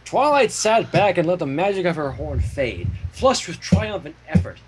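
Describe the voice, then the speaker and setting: A man reading a story aloud as narrator, in a dramatic, expressive storytelling manner. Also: sits high, normal speed, background noise. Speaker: fan fiction reader and narrator. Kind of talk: animated scripted story narration.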